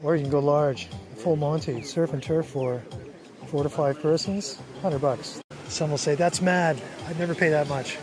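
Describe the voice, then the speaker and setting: Man, travel vlogger, slightly high voice, wry chatty narration, music underneath. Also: steady tone, normal speed. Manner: chatty, wry, chuckling to himself.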